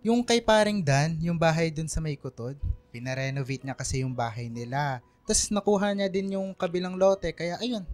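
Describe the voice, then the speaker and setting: A man's voice: on the high side, steady tone, normal speed, darkish tone, lightly acted. Radio drama father, Filipino accent, middle-aged, male actor, playful and affectionate, music underneath. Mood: reassuring. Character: teasing, generous painter father of two. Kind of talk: radio drama acting in casual family talk.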